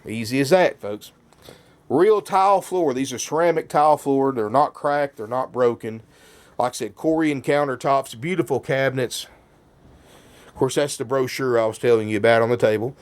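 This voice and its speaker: A man's voice: mid-range voice, normal speed. A man giving a folksy sales walkthrough of a motorhome while getting over a cold. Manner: folksy, keeps saying "folks". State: has a cold. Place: inside a motorhome.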